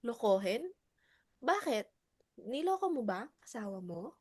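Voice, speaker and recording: A woman acting a quiet, probing club member in a dramatic reading, asking softly. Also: fairly high pitch, very animated, unhurried, no room sound, studio quality. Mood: probing, hushed.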